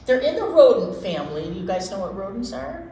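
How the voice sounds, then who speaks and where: A woman hosts a children's read-aloud with very lively, swooping intonation. She mixes simple reading with folksy, informal explanations and repeats words for emphasis. The room is echoey, with some faint noise. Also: mid-range voice, fast talker. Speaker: children's storytime presenter.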